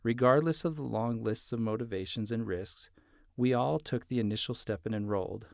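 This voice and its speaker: A man delivers a formal, scripted graduation address. His voice has a noticeable gravelly creak and sits at an average male pitch, in a dry, close recording. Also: moderate pace, dry recording. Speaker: staff member reading a student's speech. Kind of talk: formal commencement speech, read aloud.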